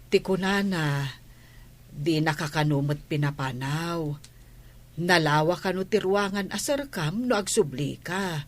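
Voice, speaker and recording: Filipino maid in a radio drama, real slow n hesitant, husky lowish voice. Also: female voice. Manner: hesitant, drawn-out, respectful. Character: deferential, gentle female household helper. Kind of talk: Ilocano radio drama acting.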